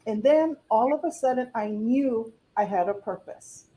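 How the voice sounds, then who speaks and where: An older woman from Hawaii, a podcast guest telling a story in a lively way and laughing easily. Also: on the high side, unhurried, rough recording. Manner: warm, laughing, animated storyteller. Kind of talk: storytelling in conversation.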